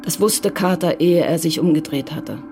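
A woman narrating a German radio play from a script in a measured, matter-of-fact way. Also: low voice, a little mellow.

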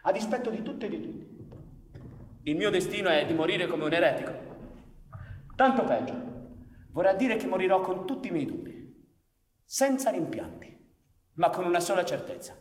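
A male actor playing a defiant, idealistic anarchist intellectual full of doubts in a theatrical radio drama, with an ironic, deliberate, declamatory delivery. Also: high-pitched, sing-song. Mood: impassioned. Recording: echoey hall with background noise.

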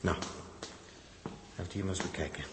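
An actor playing a determined, bossy, curious space traveller who talks colloquially and insistently, in the manner of 1960s radio drama. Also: male voice, low voice, fairly even intonation, normal speed, roomy sound, in a large hall, natural voice. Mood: curious, cautious. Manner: colloquial, interjecting, urgent, repeating words. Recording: noisy old 1960s radio recording.

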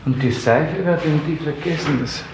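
An actor playing a timid, dutiful, melancholy office clerk in a 1950s German radio drama, hesitant and polite. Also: male voice, fairly high pitch, moderate pace, creaky. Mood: muttering, dutiful. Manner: hesitant, polite, repeating himself. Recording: roomy, very noisy vintage radio recording.

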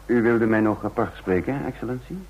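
An actor playing an uneasy impostor agent in an old radio drama, narrating his intrigue in a deliberate, hushed manner. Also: fairly low pitch, a little hiss or hum, close to an everyday voice. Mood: polite, guarded. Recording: muffled old radio play recording.

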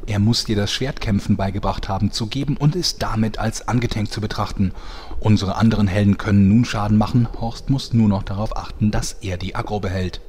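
A man's voice: on the low side, fairly even intonation, a little fast, a little mellow, a little hiss or hum, lightly acted. A man playing a mock-serious, formal narrator who commentates a fantasy battle in a parody audio drama. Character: pompous deadpan storyteller commentating a fantasy battle.

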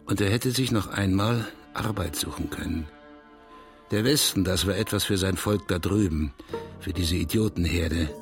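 An actor playing a detached narrator of a bleak life in a literary audio drama, measured and bitter. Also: male voice, low voice. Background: music.

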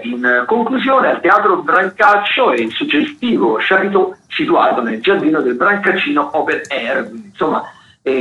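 A man hosting a radio show, reading out cultural listings in a halting, digressive, self-correcting way. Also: on the high side, pushed and bright. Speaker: radio host. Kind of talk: unscripted radio listings of theatre and dance events. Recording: roomy, dull lo-fi radio sound, faint noise.

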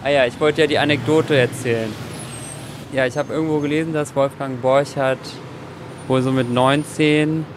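A man's voice: medium pitch, steady tone, moderate pace. A man talking informally and reflectively, recorded outdoors. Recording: noisy outdoor urban ambience.

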